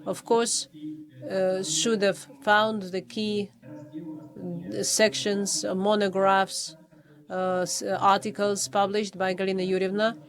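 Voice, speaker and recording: A man reads out his formal review at a doctoral defense. He speaks hesitantly in English, with frequent pauses and false starts. His pitch stays flat and level throughout. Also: low-quality recording. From Poland. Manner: halting, many hesitations and restarts. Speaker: official reviewer at a thesis defense.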